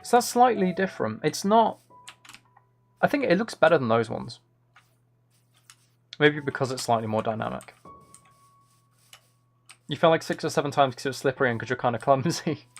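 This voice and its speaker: A soft-spoken man chatting casually on a gaming livestream, thinking aloud in a musing, tentative way as he builds. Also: medium pitch, animated, normal speed, gravelly, pauses often. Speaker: livestream host.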